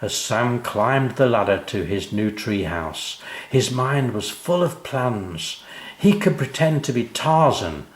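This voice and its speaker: Animated older man reading a children's story. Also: medium pitch, reverberant, low-quality recording. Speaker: author reading his own story.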